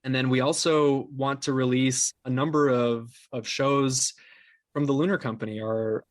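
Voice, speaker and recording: A man's voice: medium pitch, steady tone, normal speed, dry recording. A man giving earnest, discursive answers as the guest in a conversational interview about his creative work. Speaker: interview guest, podcast creator and company co-founder.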